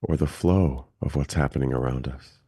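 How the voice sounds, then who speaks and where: A man leading a guided meditation as a teacher, speaking gently and calmly with long, unhurried pauses. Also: rumbling low, husky, dry recording, studio quality.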